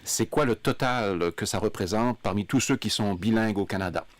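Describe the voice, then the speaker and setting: A man's voice: fairly low pitch, moderate pace, no room sound. A man hosting a radio panel in the studio, leading the talk with figures and questions and teasing his guests. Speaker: radio show host.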